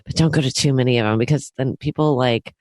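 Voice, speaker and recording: A woman, stuffy and nasal from a lingering cold. She talks in a relaxed way, hands out advice and laughs easily. The sound is dry and close-miked. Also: rumbling low, quick pace, no echo. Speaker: podcast co-host. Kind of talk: casual chat.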